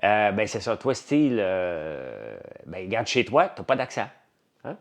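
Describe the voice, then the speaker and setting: A man's voice: on the low side, big pitch swings, slow, creaky. A man with a Quebec French accent chatting casually to livestream viewers, rambling and blunt, in colloquial words and often repeating himself. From Quebec, Canada. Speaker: livestream host.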